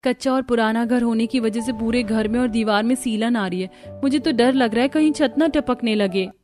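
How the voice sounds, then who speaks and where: Woman acting young wife, gentle, anxious, highish voice, cartoon drama, music behind. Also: moderate pace, lightly acted.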